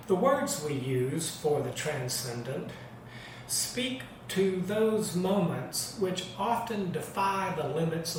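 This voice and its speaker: Slow-speaking man, very echoey and distant. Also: a little high. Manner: measured, reverent. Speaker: worship leader. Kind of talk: solemn scripted church reading. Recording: very echoey, distant mic, noisy background.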